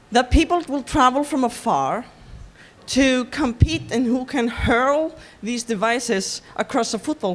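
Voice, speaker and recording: A woman from Iceland with an Icelandic accent, giving a conference talk in a halting way with many ums and restarts. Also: a little high. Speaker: conference speaker.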